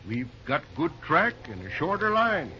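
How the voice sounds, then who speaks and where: A man's voice: fairly high pitch, sing-song, moderate pace, bright, forceful tone. An actor playing a kindly, folksy older American railroad man with country talk in an old-time radio drama. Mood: earnest, hopeful. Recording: muffled, noisy old radio recording.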